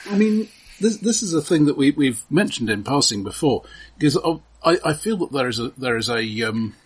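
A man co-hosting a casual film discussion, halting and repeating words as he builds his point. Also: mid-range voice, animated, slightly rough. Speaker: film podcast co-host.

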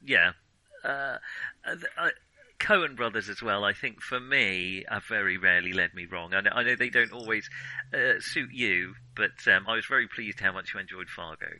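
Male podcast co-host, very bright forceful tone, lowish pitch, circling hesitant phrasing, casual film chat. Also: normal speed, no echo, poor audio.

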